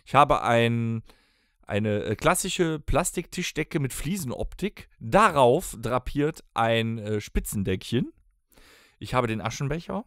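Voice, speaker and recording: A man's voice: medium pitch, animated, slow pace, a bit bright, dry recording. Man telling casual podcast stories, dry sound. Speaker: podcast co-host. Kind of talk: casual podcast banter and storytelling.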